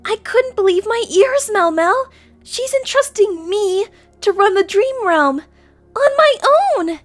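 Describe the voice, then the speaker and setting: A woman voicing an excitable, anxious young female alicorn pony in a gushing, rambling cartoon audio drama style, over music. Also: very high-pitched, unhurried. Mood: elated. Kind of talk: animated audio drama acting.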